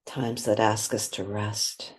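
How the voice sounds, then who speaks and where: A woman guides a meditation in a soft, airy voice with a gentle breathiness. She moves very slowly, leaving long pauses between short, calm phrases. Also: very deep voice, breathy edge.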